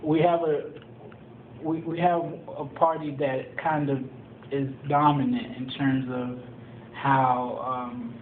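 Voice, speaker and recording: A young man from Tanzania, a college student, answering interview questions in a measured, explanatory way with many pauses and ums. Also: mid-range voice, level tone. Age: young adult. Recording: echoey room over a tinny phone-like line with background noise.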